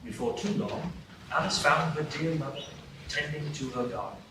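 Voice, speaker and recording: A man's voice: a little high, expressive, moderate pace. A man narrating a live radio play in a storybook manner. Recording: echoey stage, distant mic, noisy.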